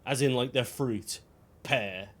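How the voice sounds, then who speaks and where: A man streams a video game and talks casually as he plays. His delivery is rambling and anecdotal, with dry asides, at an ordinary mid-range pitch. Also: slow, fairly bright tone. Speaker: game livestreamer. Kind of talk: casual chat while playing a video game.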